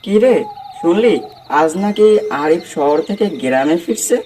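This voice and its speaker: A man's voice: fairly high pitch, animated, slow, dark tone, slight background noise. A man playing a friendly, wistful village tea-stall regular in a cartoon horror story, chatting colloquially. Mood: curious.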